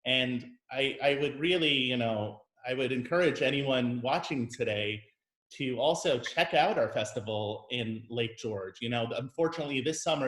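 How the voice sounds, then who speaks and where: A man, a conductor, hosting a casual interview in a measured, friendly way with many pauses and fillers. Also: mid-range voice, a bit bright. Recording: roomy echo.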